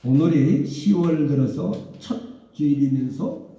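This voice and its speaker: A man's voice: fairly high pitch, sing-song, unhurried, mellow, muffled recording. A man who is a church pastor, preaching with emphatic calls of hallelujah, then giving announcements to the congregation. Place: church sanctuary. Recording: roomy church sound from a distant mic.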